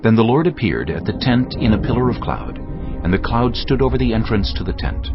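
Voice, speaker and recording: A man's voice: medium pitch, lively intonation, brisk. A man narrating scripture as a scripted dramatized audiobook reading. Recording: muffled audio with music underneath.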